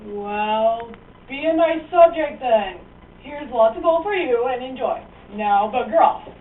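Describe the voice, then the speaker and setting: Woman playing a high-voiced pompous puppet king. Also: expressive, measured pace. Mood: annoyed. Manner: imperious declaiming, comic slangy asides. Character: pompous, ill-tempered male Aztec king, puppet show. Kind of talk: amateur comic puppet show. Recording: tinny distant lo-fi mic, noisy room.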